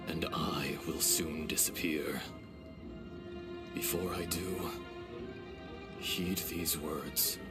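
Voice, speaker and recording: A man voicing the gentle, resigned remnant of an ancient dragon in a fantasy game, speaking formally and with measured solemnity. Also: low voice. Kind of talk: scripted fantasy game voice acting. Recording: loud music behind the voice.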